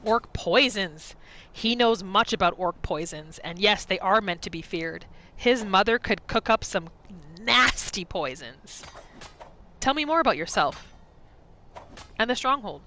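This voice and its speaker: Bright, animated woman gamer. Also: mid-range voice, measured pace, a little hiss or hum, rough recording. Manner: musing aloud, expressive, questioning. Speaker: gaming video host. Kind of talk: role-play game commentary, narrating her character's thoughts.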